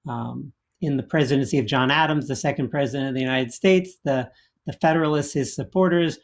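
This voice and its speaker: A man explaining history on a panel in a measured, lecturing way. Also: medium pitch, gravelly.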